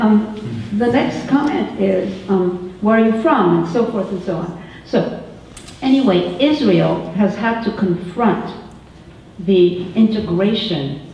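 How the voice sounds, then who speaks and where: A woman's voice: medium pitch, a little hiss or hum. An older woman from Egypt asking a question from the audience, rambling and conversational. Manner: rambling, conversational, quotes others in lively voices. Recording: echoey room, distant, dull and lo-fi.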